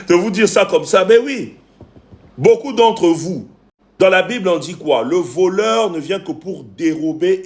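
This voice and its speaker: A man with a Cameroonian accent coaching viewers on a livestream, preaching emphatically and repeating phrases for effect. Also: on the high side. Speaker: relationship coach and live stream host. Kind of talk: preachy livestream talk to viewers, greeting commenters.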